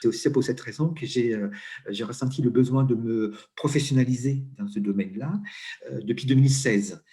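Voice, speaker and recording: A man's voice: mid-range voice, a little fast, warm tone. Hesitant Italian man speaking French. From Italy. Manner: hesitant, many fillers and restarts. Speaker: interview guest, coach and HR consultant. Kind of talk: conversational interview.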